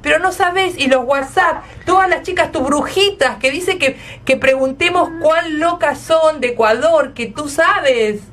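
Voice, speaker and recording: A woman's voice: high voice, unhurried, hardly any pauses, a bit bright. A woman with an Argentine accent hosting a casual, effusive radio interview. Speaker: radio host.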